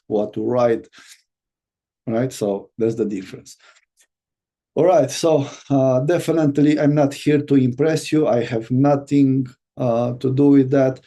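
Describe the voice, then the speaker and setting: A man from Romania with a Romanian accent, teaching an online course as a business coach and speaking in a halting way with many fillers and restarts. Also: mid-range voice, creaky, mellow.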